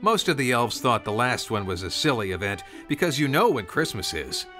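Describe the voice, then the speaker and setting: A man's voice: medium pitch, sing-song, normal speed, sharp tone, soft background music. A man narrating a scripted story in a folksy, conversational way, with asides to the listener.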